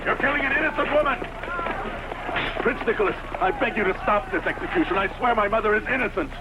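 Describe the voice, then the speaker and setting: A man's voice: high voice, fast, lightly acted. A man acting an earnest, defiant young hero in a dubbed costume film. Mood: desperate pleading. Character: defiant young hero, devoted son of a condemned woman. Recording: noisy home-made fan dub.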